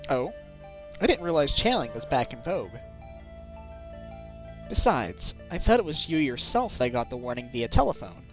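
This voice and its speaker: Man playing a lively, wry defense attorney. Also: mid-range voice, moderate pace, long pauses. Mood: skeptical. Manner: questioning, assertive, wry asides. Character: wry, determined male defense attorney, younger adult. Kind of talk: video game fandub acting. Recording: amateur home recording under game music.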